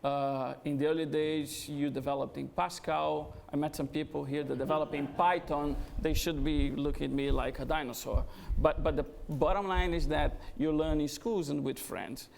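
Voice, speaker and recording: A man's voice: fairly high pitch, fairly even intonation, normal speed, gravelly, hardly any pauses, a little mellow, slight background noise. A middle-aged man from Brazil with a Brazilian Portuguese accent, speaking as a guest at a startup event with frequent hesitations. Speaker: guest speaker, business executive. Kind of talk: conversational fireside interview. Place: event venue before an audience.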